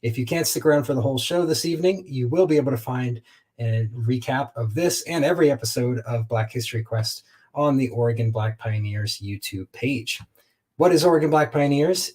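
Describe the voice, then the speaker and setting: A man's voice: medium pitch, a little mellow. Male host addressing online viewers. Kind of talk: live online program introduction.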